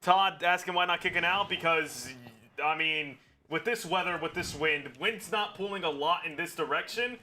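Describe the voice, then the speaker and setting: Football stream co-host man, calm analytic read, slightly high and bright, desk mic. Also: normal speed, slight background noise. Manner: calm analytic, explains situations and strategy. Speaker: watch party co-host. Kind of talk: casual football analysis on a livestream.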